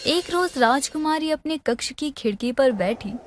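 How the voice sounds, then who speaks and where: A woman's voice: high-pitched, expressive, moderate pace, soft background music. A woman storyteller reading a scripted fairy-tale narration.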